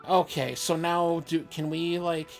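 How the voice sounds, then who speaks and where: A woman's voice: deep voice, fairly even intonation, unhurried, faint music behind. A woman chatting casually as she plays a video game and comments on it. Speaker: game player. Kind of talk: casual gameplay chat.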